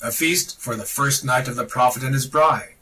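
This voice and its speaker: A man reading a religious text aloud in a solemn, dramatic style, declamatory and deliberate, with emphatic pauses. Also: mid-range voice, bright, forceful tone, slightly rough, recorded from a distance. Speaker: podcast reader of esoteric texts.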